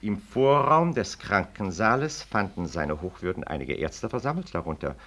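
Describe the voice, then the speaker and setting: An actor playing a pompous, self-righteous medical professor in a 1960s radio play, formal and declamatory. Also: male voice, on the low side, sing-song, moderate pace, sharp tone, exaggerated voice. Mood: declamatory, pointed.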